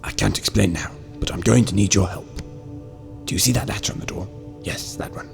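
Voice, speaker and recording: A man playing a wise, secretive old prisoner in an amateur radio drama, speaking in a hushed, measured way. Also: fairly low pitch, gravelly, soft background music. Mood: calm, secretive.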